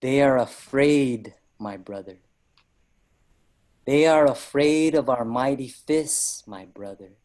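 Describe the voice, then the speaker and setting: A man's voice: medium pitch, slow, a little mellow, lightly acted. An actor, a man, playing an earnest, determined young Filipino migrant laborer who narrates a staged drama. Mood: solemn. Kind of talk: dramatic staged reading, first-person narration. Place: virtual live event, remote reading.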